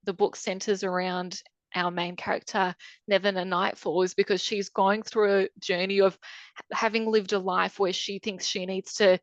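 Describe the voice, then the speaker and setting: A woman's voice: medium pitch, flat delivery, moderate pace, no echo. Woman, author guest, chatty, thoughtful, frequent ums, muffled dry audio. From Croatia. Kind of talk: casual interview answers.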